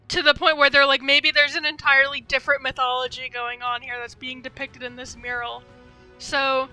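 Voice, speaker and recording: A woman with a high, strikingly bright voice commentates casually on a game stream. She rambles and thinks aloud, often tentative, while game music plays faintly behind her. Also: dry recording, poor audio. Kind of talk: casual livestream gameplay commentary.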